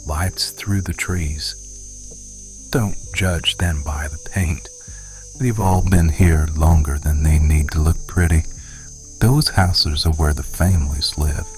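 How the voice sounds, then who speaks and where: A man's voice: steady tone, darkish tone, faint music behind. A man playing a brooding, protective alpha werewolf rancher boss in an intimate ASMR romance roleplay, murmuring in a terse, commanding way. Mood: low, watchful. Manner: terse, commanding, murmuring low with long pauses.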